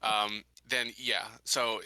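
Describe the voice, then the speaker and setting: A man playing a tabletop roleplaying game, improvising his character's words in a halting, tentative way. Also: lowish voice, little pitch movement, pushed and bright, clear, resonant tone.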